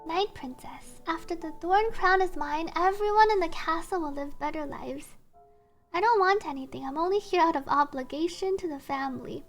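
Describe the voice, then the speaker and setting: A woman livestreamer reading game text aloud and adding chatty asides, with game music behind her. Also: very high voice. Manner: reads story text slowly, then chatty asides. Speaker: streamer, VTuber.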